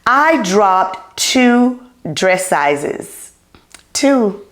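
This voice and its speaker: An eager, loud middle-aged woman hosts a casual podcast chat, her voice at an ordinary middle pitch. She often repeats herself and talks away from the mic, so the room's echo is clearly heard. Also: very animated, drawn-out words. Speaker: podcast host. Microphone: not wearing her clip-on mic. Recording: roomy echo.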